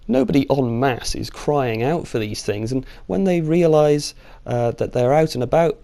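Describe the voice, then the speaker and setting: A man's voice: mid-range voice, warm tone. British man giving sardonic video commentary. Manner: informal, opinionated, sardonic, with mild swearing. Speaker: video host. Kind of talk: scripted opinion commentary on video game films.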